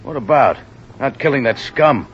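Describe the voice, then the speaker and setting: An actor as a blunt, protective cab driver. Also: male voice, medium pitch, lively intonation, normal speed, fairly bright tone, natural voice. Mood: bitter. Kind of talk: 1940s radio acting. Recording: hissy lo-fi old radio recording.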